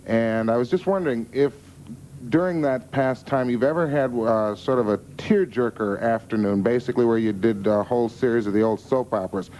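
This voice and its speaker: Mellow American man asking a question. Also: mid-range voice, fairly quick. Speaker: audience member asking a question. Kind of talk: spontaneous question. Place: television studio audience. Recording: noisy vintage TV broadcast.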